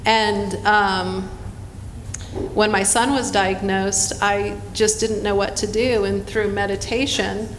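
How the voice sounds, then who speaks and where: A woman on a conference panel, speaking in a halting, searching, earnest way about her own experience. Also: mid-range voice, steady tone. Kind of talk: personal reflection on a panel. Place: hotel conference room. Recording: roomy hall with faint noise.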